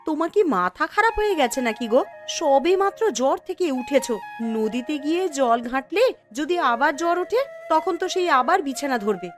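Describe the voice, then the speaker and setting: Cartoon village wife: high and very animated, fretful, nagging, scolding. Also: female voice, normal speed, faint music behind. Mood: worried. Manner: expressive, nagging. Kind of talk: Bengali cartoon dialogue.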